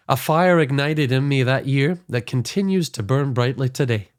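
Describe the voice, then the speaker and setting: A man, slightly hoarse, hosting a podcast and reading a scripted introduction with earnest enthusiasm. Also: fairly high pitch. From Scotland. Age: 40s. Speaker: podcast host.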